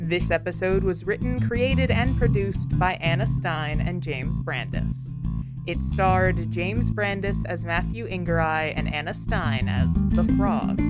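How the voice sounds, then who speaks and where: Lowish, very lively woman announcer. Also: measured pace. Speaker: credits announcer. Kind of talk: scripted credits narration. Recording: voice over loud plucked-string music. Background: guitar music.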